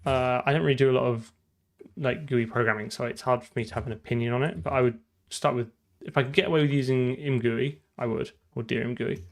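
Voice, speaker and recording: A man's voice: medium pitch, steady tone, quick pace, vocal fry. A man chatting casually on a live coding stream, thinking aloud in an informal way. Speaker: streamer and game programmer.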